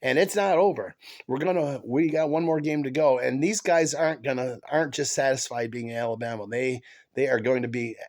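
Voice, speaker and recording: A man hosting a casual sports podcast, talking with a stuffed-up head cold, halting with many fillers and restarts as an excited fan. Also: medium pitch. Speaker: podcast host.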